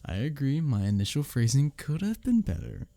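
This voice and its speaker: A man acting an arrogant, teasing office rival who is secretly smitten, in an intimate audio roleplay, often breathy and near a whisper. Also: mid-range voice, very animated, dark tone, no echo. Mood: flustered, defensive. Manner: smirking, teasing, halting when flustered. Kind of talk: intimate ASMR audio roleplay addressed to the listener.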